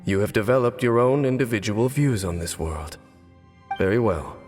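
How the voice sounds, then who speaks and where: A man's voice: on the low side, expressive, moderate pace, a little mellow, lightly acted. A man playing a cynical, aloof, centuries-old swordsman, speaking formally and sardonically in a dramatic video game. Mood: cool resolve. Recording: long reverb, music behind.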